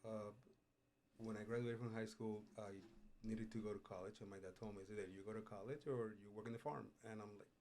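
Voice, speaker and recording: A middle-aged man from Puerto Rico, a culinary instructor, telling his life story in a relaxed way, with frequent pauses and "uh"s, in a studio interview. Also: on the low side, slight background noise. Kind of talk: casual interview. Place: radio studio.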